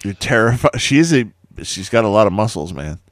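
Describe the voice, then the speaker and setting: A man's voice: lowish voice, big pitch swings, fairly quick, dry recording. A man hosting a podcast, chatting casually in a laid-back, dry way. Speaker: podcast host. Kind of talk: casual chat.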